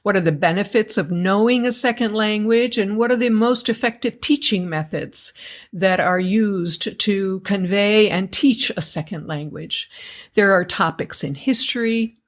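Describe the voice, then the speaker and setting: A woman guest from the United States talks through her memories at an even, unhurried pace. Her voice sits in a middle pitch, and she answers questions with thoughtful, well-ordered phrasing. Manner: measured, thoughtful storytelling. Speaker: guest, educators association member. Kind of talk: conversational interview.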